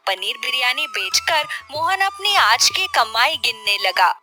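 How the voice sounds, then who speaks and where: A woman narrating a scripted children's story in an expressive storytelling manner. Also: high-pitched, unhurried, soft background music.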